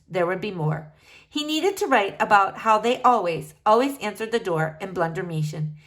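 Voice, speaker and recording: Lively woman reading a children's book. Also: medium pitch. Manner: expressive storytelling. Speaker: reader. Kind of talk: children's book read-aloud.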